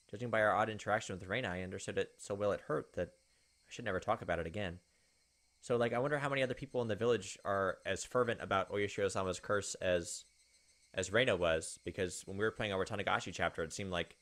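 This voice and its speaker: A man's voice: lowish voice, fairly bright tone. A young man hosting a gaming video, reading a visual novel aloud with casual asides.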